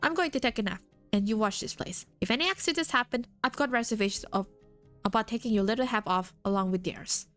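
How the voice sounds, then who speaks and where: A woman's voice: a little high, fast, fairly bright tone, natural voice. A woman reading the lines of a callous, bossy male mercenary soldier from a game story, with faint music behind. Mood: scornful. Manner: mocking, bossy. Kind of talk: reading game dialogue on a live stream.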